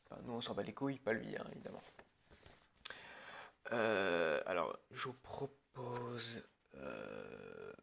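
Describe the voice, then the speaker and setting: A man's voice: on the low side, big pitch swings, takes it slowly, creaky, long pauses, fairly bright tone, husky. A man speaking informally and hesitantly in an improvised ASMR roleplay, as a film director addressing his crew.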